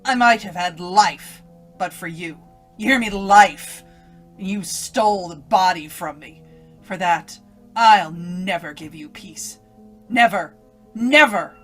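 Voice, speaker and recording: A menacing, slow-speaking evil alter ego. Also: female voice, medium pitch, fairly bright tone. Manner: menacing, taunting, gloating. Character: evil alter-ego woman's voice inside a condemned girl's head. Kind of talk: old-time radio drama acting.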